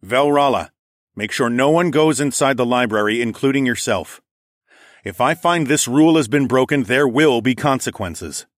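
A man's voice: medium pitch, expressive, normal speed, hardly any pauses, a bit bright, dry recording, studio quality, voice pushed up, natural voice. A man voicing a stern, imperious lord in an audiobook, cold and commanding, with a drawl. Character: stern, imperious lord feigning icy contempt. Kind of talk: acted audiobook dialogue.

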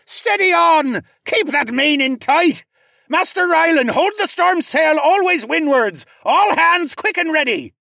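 A wild raccoon sea captain, acted out by a male narrator in a fantasy audiobook. The voice is pushed high and forceful, bellowing orders with urgent authority. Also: expressive, a little slow, gravelly, no room sound, clean studio sound, exaggerated voice. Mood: urgent, commanding. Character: commanding, wild old-salt raccoon pirate captain.

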